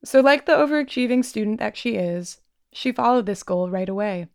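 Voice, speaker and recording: A woman reporter narrates a feature story in a measured, scripted way. Her medium-pitched voice has a gravelly creak. The recording is dry and close. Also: animated, a little mellow, no echo.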